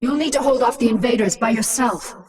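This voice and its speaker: A woman's voice: on the high side, fairly quick, a crowd in the background, natural voice. An actress playing a ruthless alien swarm queen in a video game, confident, cool and taunting with heavy sarcasm. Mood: commanding. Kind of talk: video game cutscene acting. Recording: distant mic, busy noisy background.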